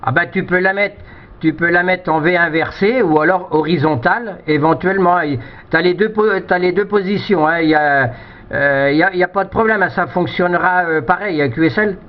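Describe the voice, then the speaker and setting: A fairly high-voiced man talks casually over a radio link, giving practical technical advice. He hesitates and circles back over his own words. The sound is dull and lo-fi. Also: fairly quick, a little hiss or hum. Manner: hesitant, repeats words and phrases. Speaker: amateur radio operator. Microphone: two-way radio microphone.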